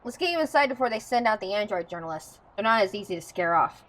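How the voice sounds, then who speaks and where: A woman's voice: medium pitch, expressive, quick pace, lightly acted. Fiery, blunt young farm woman, played by an actress. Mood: brisk exasperation. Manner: forceful, blunt. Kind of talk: audio drama acting.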